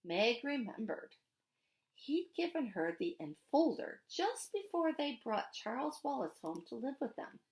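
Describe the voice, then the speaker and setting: A woman narrates a children's story aloud. Her voice sits fairly high and swings expressively up and down, with a noticeable fry running through it. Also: sing-song, measured pace, darkish tone, poor audio. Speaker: storybook reader.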